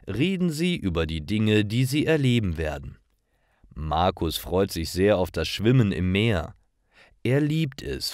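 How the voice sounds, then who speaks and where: A man narrating scripted stories for language learners with clear, measured enunciation. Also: sits low, no room sound, studio quality.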